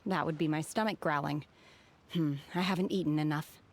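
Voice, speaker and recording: An actress playing an aloof, blunt young woman from the mountains in a video game, speaking calmly and formally. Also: low voice, normal speed, a little hiss or hum. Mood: detached. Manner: calm, formal, blunt, sighing. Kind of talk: anime-style video game voice acting.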